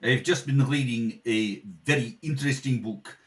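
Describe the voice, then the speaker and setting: A man's voice: medium pitch, normal speed, close to an everyday voice. A man acting a pretentious, fawning artist hanger-on in a radio comedy, affected and melodramatic. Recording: slightly distant home microphone.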